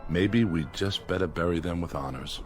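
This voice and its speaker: Actor as a very deep, weary, sardonic man. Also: normal speed, crowd noise, close to an everyday voice. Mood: wry, sardonic. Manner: wry, sardonic, commanding. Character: weary, sardonic male survivor, cautious authority figure. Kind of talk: radio drama acting.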